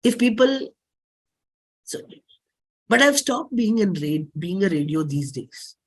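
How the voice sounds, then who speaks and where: A woman with an Indian accent giving a dramatic reading of a play script in a deliberate, declamatory manner. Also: mid-range voice, very animated, breathy edge, rough recording. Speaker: performer reading own play.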